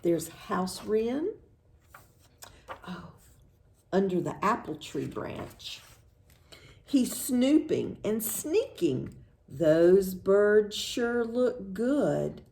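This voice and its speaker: A woman's voice: mid-range voice, sing-song, drawn-out words, gravelly, long pauses, dark tone, a little hiss or hum. An American woman hosting storytime for young children, reading in a singsong way and asking simple questions, then affirming the answers. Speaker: children's librarian, storytime host.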